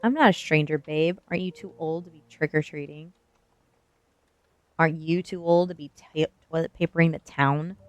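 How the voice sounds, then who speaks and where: A woman's voice: deep voice, brisk, few pauses, a little mellow, dry recording, lightly acted. A woman voicing a cocky, teasing teenage boy bully in a storybook reading. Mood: taunting. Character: smug, taunting teenage boy bully in vampire costume. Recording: music behind the voice.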